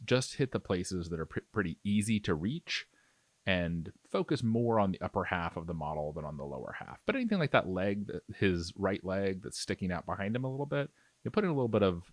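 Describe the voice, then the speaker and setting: Low-voiced man, lively casual tutorial narration. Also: no echo. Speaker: miniature painting tutorial host. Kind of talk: casual instructional narration over demonstration.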